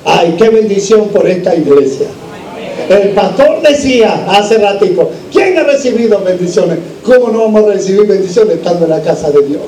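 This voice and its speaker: A male preacher exhorts his congregation from some distance off the microphone, in a roomy, echoing space. His voice sits high for a man, and he drives the sermon with questions and repeated phrases. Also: lively intonation, moderate pace, warm tone. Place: large echoing room, church service.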